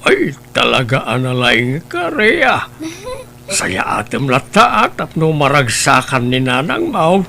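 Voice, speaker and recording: A man playing a grave elderly grandfather who speaks slowly and reproachfully in a family drama. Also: medium pitch, huge pitch swings, gravelly, sharp tone, a little hiss or hum, exaggerated voice. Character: grave elderly grandfather, stern but caring. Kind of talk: Ilocano radio-style family drama.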